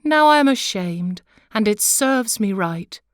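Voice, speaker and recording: A woman's voice: mid-range voice, lively intonation, measured pace, dry recording, lightly acted. A grand storybook king, played by a woman, declaims with solemn weight. He sounds wise yet humble, and the recording is dry and close. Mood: ashamed.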